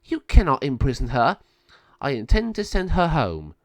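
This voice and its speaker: A man's voice: on the high side, expressive, moderate pace, no room sound, pitched above the performer's own voice, natural voice. A man voicing a wise, determined good sorceress in a storybook tale, in a raised voice. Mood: firm.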